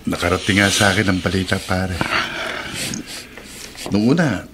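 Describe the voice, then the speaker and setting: A man's voice: sits low, normal speed, noisy background, close to an everyday voice. An actor playing a sympathetic, concerned male friend in a Filipino radio drama, familiar in manner, with a Filipino accent. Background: background music.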